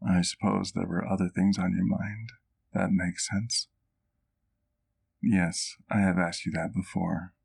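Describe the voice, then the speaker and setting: A scholarly male vampire acted by a man with a very low, gravelly voice. He speaks in formal, measured, genteel phrases, as a caring doctor and keeper talking closely to the listener in an ASMR roleplay. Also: flat delivery, a little mellow, full character voice. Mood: reflective storytelling. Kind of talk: ASMR roleplay, intimate one-sided dialogue to the listener.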